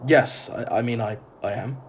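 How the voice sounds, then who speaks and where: A man's voice: mid-range voice, moderate pace, natural voice. An actor playing a polite, uneasy traveller in an audio drama, earnest and hesitant in his delivery. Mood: nervous, hesitant. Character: uneasy, polite male traveller narrating his strange homecoming. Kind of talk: audio drama narration and dialogue. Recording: clearly noisy ambience behind. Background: ambience noise.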